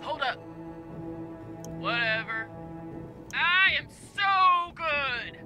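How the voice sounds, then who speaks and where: An actress playing a teasing, self-satisfied femme fatale fighter in a video game. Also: female voice, sits high, animated, very slow, bright, forceful tone, faint music behind, full character voice. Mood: smug teasing. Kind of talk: video game voice pack lines.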